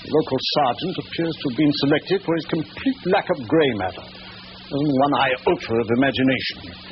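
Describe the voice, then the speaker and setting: An actor playing an indignant, pompous English country squire with a fussy, complaining manner in a 1940s radio drama. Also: male voice, on the high side, expressive, a little fast, lightly acted. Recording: muffled noisy 1940s radio recording.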